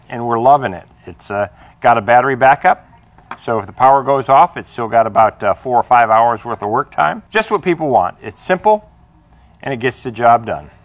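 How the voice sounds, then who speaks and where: A man's voice: medium pitch, fairly bright tone. An American man in his fifties giving an informal, plainspoken product demonstration and explaining as he goes. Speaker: product presenter. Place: small indoor workshop or showroom.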